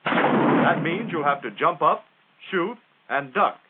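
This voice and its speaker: A man's voice: a little high, animated, takes it slowly, very noisy. An American man narrating a 1940s military training film in a plain-spoken, instructional way with folksy phrasing. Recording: tinny, band-limited 1940s film soundtrack.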